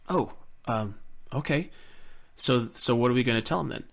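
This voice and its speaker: Anxious young-hero part read by a man, mid-pitched, halting and deferential, casual sci-fi roleplay delivery. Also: vocal fry. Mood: hesitant, uneasy. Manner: hesitant, halting, repeating words. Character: unsure, anxious, deferential hero serving a general. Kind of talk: fan-made sci-fi roleplay drama.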